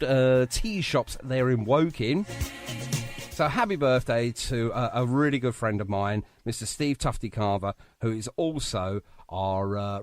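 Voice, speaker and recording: Animated man hosting a live radio show. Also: medium pitch, no echo. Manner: chatty, jokey.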